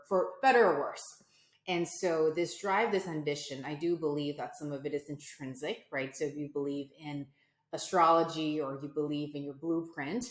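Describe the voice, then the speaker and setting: A woman's voice: deep voice, reverberant. A woman in her forties, a guest entrepreneur, talking reflectively in an interview with frequent fillers and restarts. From United States. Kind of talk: conversational interview.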